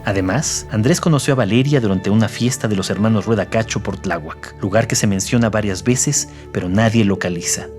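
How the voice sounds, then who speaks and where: A man with a fairly low voice reads scripted true-crime narration. There is faint music behind him as he tells the case as narrator. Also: a little fast, hardly any pauses, a little mellow.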